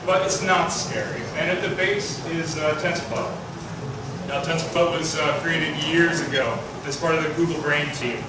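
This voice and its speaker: A man giving a technical talk at a conference, loud and asking the audience questions. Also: on the high side, fairly even intonation, moderate pace, very noisy. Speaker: conference speaker. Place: large conference hall. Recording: echoey hall, mic from far off.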